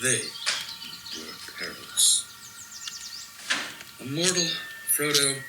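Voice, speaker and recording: A man playing a grave, weary old wizard in an audio drama, speaking in a measured, solemn, portentous way. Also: lowish voice, natural voice. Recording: distant mic, loud noise with clicks.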